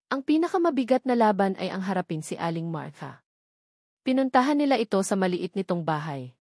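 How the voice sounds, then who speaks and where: A woman's voice: medium pitch, sing-song, brisk, a little mellow, dry recording, clean studio sound. A woman reading a scripted romantic drama as an audiobook narrator.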